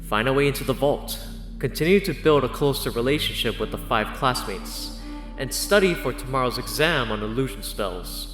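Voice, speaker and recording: Animated male audio story narrator. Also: mid-range voice, normal speed. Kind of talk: first-person scripted narration. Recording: loud music bed underneath.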